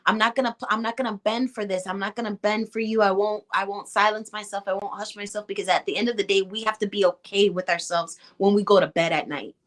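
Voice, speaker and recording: A woman with a Chicago Puerto Rican accent speaks as a guest in a casual conversation. Her voice is bright and ringing, and she talks with passion and emphasis. She acts out the reactions she quotes. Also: mid-range voice, fairly even intonation, fast talker, hardly any pauses. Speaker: actress guest.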